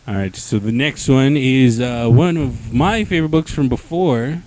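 A man's voice: mid-range voice, normal speed, a little mellow, slight background noise. A young man hosting a casual comic review chat, laid-back and swearing freely, speaking into a handheld mic in a small indoor studio.

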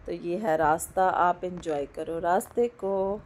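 A woman in her thirties with a hoarse throat from a cold, chatting loosely in a casual vlog voice-over from home and mixing in English words. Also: lowish voice, slow. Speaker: vlogger. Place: indoors at home, recording the voice-over. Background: a dog barking now and then.

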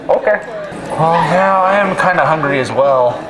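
A man in his twenties speaking through a megaphone in an awkward, deadpan prank style. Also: on the high side, moderate pace, low-quality recording. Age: 20s. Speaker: prankster and video host. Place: campus lawns and lounges, some distance from camera. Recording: megaphone voice amid background chatter.

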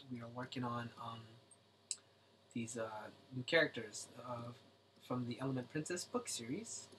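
A man hosting a casual late-night drawing livestream at home, his voice a little raspy and kept low so as not to wake anyone. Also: slight background noise, low-quality recording. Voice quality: speaks softly to keep voice down. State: slightly raspy from singing.

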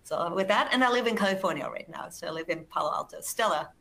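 Bright Austrian-accented woman presenting. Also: fairly low pitch, lively intonation, quick pace, a little hiss or hum. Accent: Austrian German. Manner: enthusiastic, repeats words and restarts. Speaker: webinar presenter, research executive. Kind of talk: presenting slides in a webinar. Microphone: video call.

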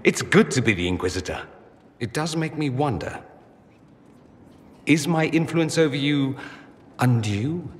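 An actor playing a witty, teasing, urbane mage in a fantasy game, with an arch, dry, theatrical delivery. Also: male voice, medium pitch, animated, measured pace, long pauses, close to an everyday voice. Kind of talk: video game cutscene dialogue. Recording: long reverb, noisy ambience behind.

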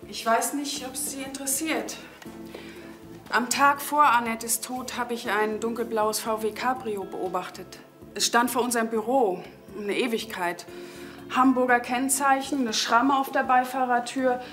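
A hesitant witness with a fairly high voice. She gives careful testimony in crime drama style, with faint music behind. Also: steady tone, unhurried, a bit bright. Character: hesitant female office employee giving testimony. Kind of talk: German TV crime drama acting.

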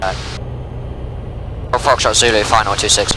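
A man's voice: lowish voice, steady tone, moderate pace. A young man training as a pilot, making brief calls and readbacks into a headset in a small airplane. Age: young adult. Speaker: student pilot. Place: small airplane cockpit in flight. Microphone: aviation headset mic. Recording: faint aircraft engine noise.

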